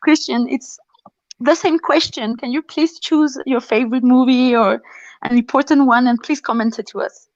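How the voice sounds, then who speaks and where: Brief: woman moderator, fairly high voice, hesitant, dry lo-fi video call sound. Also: normal speed, a little mellow, no echo. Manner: hesitant, friendly, frequent fillers. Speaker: festival Q&A moderator. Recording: dull dry lo-fi audio.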